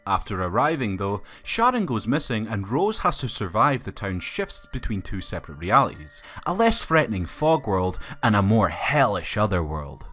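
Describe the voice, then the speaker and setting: A man's voice: on the low side, big pitch swings, normal speed, hardly any pauses, a bit bright. A man reading a scripted film review as a video reviewer, with opinionated, colloquial phrasing.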